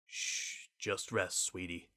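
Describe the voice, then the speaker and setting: A protective pony father from a storybook drama, voiced by a man. He is plain-spoken and caring, with a bright voice recorded very dry. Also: sits low, little pitch movement, slow, dry recording, heavily acted. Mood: tender.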